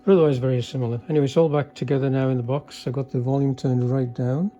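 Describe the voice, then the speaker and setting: A man with a soft-edged, mellow voice and medium pitch talks through a hands-on electronics demo. He is hesitant and understated, explaining technical details casually, without a script. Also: fairly even intonation, fast. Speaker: electronics hobbyist demonstrating a repaired vintage car radio. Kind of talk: casual unscripted demonstration.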